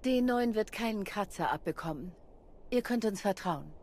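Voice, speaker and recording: A woman playing a steady Republic hero in a video game, firm and composed. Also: mid-range voice, moderate pace, slight background noise, close to an everyday voice. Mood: calm, reassuring. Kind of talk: dubbed video game cutscene acting.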